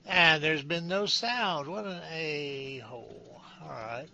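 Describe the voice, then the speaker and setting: An older man talks his way slowly through a video game, muttering to himself, mocking his own mistakes and cursing mildly. His voice is gravelly and fairly high, with a bright edge. The home recording sounds dull and lo-fi. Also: a little hiss or hum. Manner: muttering, self-mocking thinking aloud, mild cursing. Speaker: let's play gamer. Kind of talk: casual gameplay commentary.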